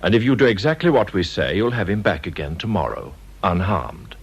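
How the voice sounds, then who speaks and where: A man's voice: sits low, expressive, slightly rough, highs cut off, exaggerated voice. An actor with an English accent playing a mock-polite, menacing kidnapper who poses as a policeman in a radio drama. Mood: smugly menacing. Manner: mock-courteous, unhurried, insinuating.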